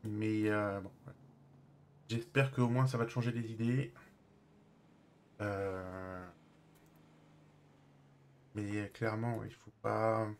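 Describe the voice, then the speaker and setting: A man chatting casually while live-streaming a role-play game, halting and often trailing off. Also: on the low side, little pitch movement, slight background noise.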